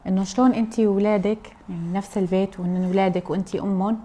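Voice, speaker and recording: A woman's voice: medium pitch, level tone, very fast, mellow. A woman from Aleppo with a Syrian accent, a mother chatting casually and explaining things at length to her viewers. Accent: Aleppo Syrian Arabic. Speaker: mother and cooking vlogger.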